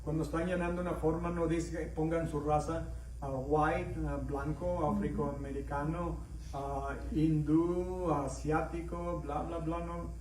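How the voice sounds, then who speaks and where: A man's voice: fairly high pitch, flat delivery, unhurried, darkish tone, distant mic, slight background noise. A middle-aged Mexican man with a Mexican accent preaching a conversational sermon, telling stories and asking the congregation questions. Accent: Mexican, slightly mixed. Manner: storytelling, asks the congregation questions, acts out dialogue in raised voices. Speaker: pastor. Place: church sanctuary. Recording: echoey room, voice heard from afar.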